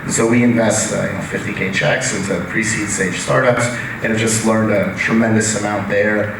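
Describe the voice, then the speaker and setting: Low flat-voiced man on a panel. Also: normal speed, gravelly. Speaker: seed investor on a panel. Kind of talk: conference panel introduction. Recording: echoey hall, distant mic, noisy.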